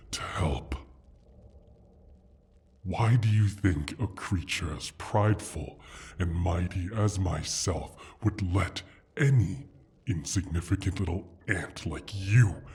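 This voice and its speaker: A mighty wounded dragon with a low, very raspy, breathy voice. He is prideful and disdainful, speaking in slow, halting, theatrical phrases with open menace, as in a fantasy audio roleplay. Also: a little mellow, heavily acted. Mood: proud, dismissive. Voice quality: husky, breathy rasp. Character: proud, injured male dragon, menacing and haughty.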